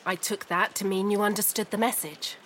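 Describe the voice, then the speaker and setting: An actress playing a composed, proud industrialist's wife in a noir audio drama, wry and precise. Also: female voice, mid-range voice, fairly even intonation, fairly quick, fairly bright tone, a little hiss or hum, natural voice, rough recording. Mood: composed, insistent.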